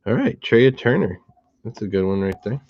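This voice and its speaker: A slightly hoarse man hosting a card box break, calmly listing each card and who it goes to. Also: mid-range voice, highs cut off. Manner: steady, unhurried listing of cards and recipients. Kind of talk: relaxed live box-break commentary.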